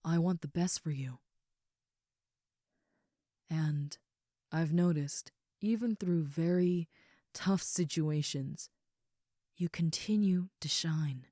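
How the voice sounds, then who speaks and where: A woman playing a caring, affectionate young ogre roommate in an intimate comfort roleplay, speaking softly and sincerely in a rambling, reassuring way. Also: deep voice, takes it slowly, long pauses, no echo, studio quality. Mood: tender, sincere.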